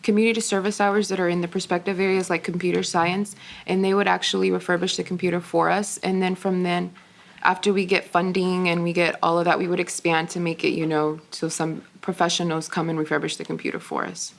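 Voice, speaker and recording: A teenage girl presenter explaining a plan slowly and hesitantly. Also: on the low side, flat delivery, gravelly. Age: teens. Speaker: student presenter.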